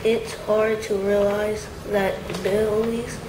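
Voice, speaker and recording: Slow, halting young speaker. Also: female voice, medium pitch, level tone. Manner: halting, searching for words. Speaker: youth program member. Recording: echoey room, distant mic, background noise.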